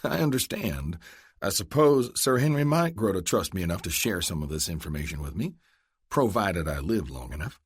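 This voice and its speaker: A man's voice: deep voice, very animated, moderate pace, breathy edge, no echo, clean studio sound, exaggerated voice. A man voicing a worldly, guarded Western gunman with an Ozarks accent and wry understatement. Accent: Missouri Ozarks.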